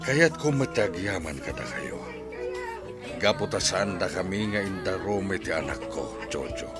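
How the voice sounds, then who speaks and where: A man's voice: deep voice, big pitch swings, unhurried. A man with an Ilocano accent playing a formal, gracious wealthy patriarch in a radio drama. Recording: music playing clearly behind.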